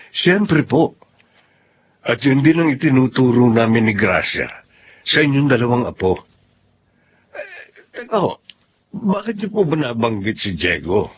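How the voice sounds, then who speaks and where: A Filipino man playing a gentle, honest family man in a radio-style drama. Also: medium pitch, very animated, measured pace, long pauses, a little hiss or hum. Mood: respectful, gentle. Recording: dull lo-fi audio with music behind.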